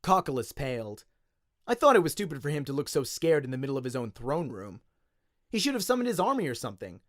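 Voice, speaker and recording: A man with an Australian accent giving an expressive, dramatic audiobook narration in radio-play style. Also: a little high, quick pace, no echo, studio quality. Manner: expressive storytelling.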